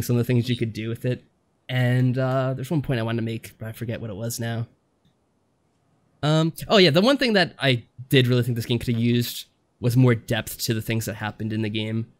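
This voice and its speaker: Man chatting casually, rambling storyteller. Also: mid-range voice. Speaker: podcast co-host. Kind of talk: casual chat reviewing games.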